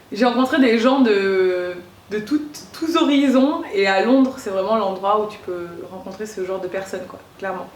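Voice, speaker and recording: A woman's voice: mid-range voice, slight background noise. A young woman from Corsica telling a casual storytime, chatty and full of fillers and slang. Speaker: vlogger. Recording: roomy echo.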